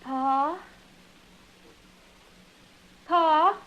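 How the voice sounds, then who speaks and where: A woman's voice: high voice, drawn-out words, slight background noise. An American actress playing a weary young new mother, a rancher's daughter, speaking tentatively in a 1950s radio western. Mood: tentative, hopeful. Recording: dull vintage radio recording.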